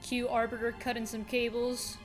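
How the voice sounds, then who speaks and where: A woman with a fairly high voice gives casual reaction commentary. She speaks informally over game footage, with faint music behind her. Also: little pitch movement, normal speed. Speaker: video creator reacting to game cutscenes.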